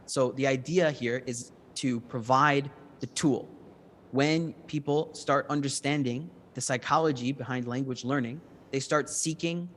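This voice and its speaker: Man presenting, with long hall reverb. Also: mid-range voice. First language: English. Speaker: start-up presenter. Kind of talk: answering judges' questions after a pitch.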